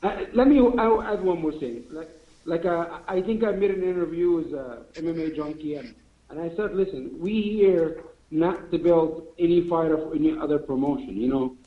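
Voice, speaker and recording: A man's voice: on the high side, moderate pace. Echoey phone-line man. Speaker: fight promotion executive. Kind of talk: media conference call.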